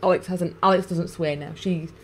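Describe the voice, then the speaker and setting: A woman with a Yorkshire accent, talking in a relaxed, rambling way as a guest. Her voice sits a bit low for a woman, and she laughs easily between stories. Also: normal speed, slightly rough. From England. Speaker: guest, vlogger and mother. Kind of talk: casual chat.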